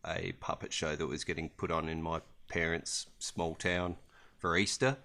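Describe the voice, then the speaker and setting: Deep aussie bloke on a podcast, bright punchy tone, hesitant understated chat. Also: male voice, flat delivery, normal speed, creaky. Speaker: podcast co-host. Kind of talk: casual chat.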